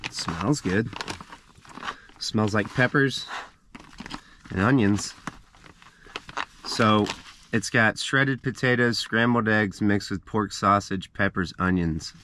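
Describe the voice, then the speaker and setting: A man in his thirties casually narrating a camp breakfast to the camera from inside a parked van, chatty and relaxed. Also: lowish voice, takes it slowly, long pauses, noisy background. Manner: relaxed, chatty, walks through each step. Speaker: travel vlogger. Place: inside a parked van, small enclosed space. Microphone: camera mounted in the vehicle.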